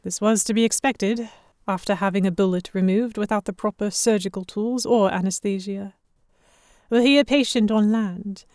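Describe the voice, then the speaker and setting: A woman's voice: mid-range voice, a little mellow, no room sound. Woman narrating, close dry sound. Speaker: narrator and lead actor. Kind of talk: first-person scripted audio drama narration.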